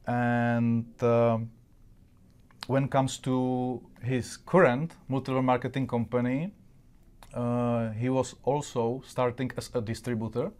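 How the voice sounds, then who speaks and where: Halting male interviewer with flat intonation. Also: medium pitch. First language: not English. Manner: halting, with non-native grammar. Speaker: interviewer and host. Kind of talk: interview with greetings to an audience.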